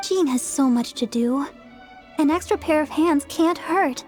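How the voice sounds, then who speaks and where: A woman's voice: high voice, measured pace, darkish tone, faint music behind. A woman playing an eager, pushy and protective young woman in a video game, speaking insistently and persuasively. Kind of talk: video game character dialogue.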